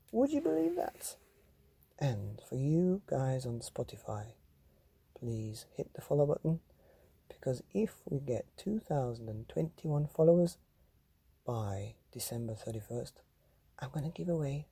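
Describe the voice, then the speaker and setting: A man with a very dark, mellow and slightly husky voice reads a bedtime story to children. His pitch swoops expressively as he draws out key phrases for young listeners. He speaks like a storytelling host, addressing the children directly. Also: sing-song, a little slow.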